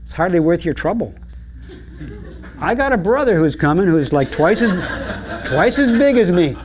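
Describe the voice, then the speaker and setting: Man doing a high, timid little billy goat. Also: big pitch swings, normal speed, a crowd in the background. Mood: pleading, persuasive. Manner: hesitant, pleading. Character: little young billy goat, timid, polite, slyly persuasive. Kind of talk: storytelling.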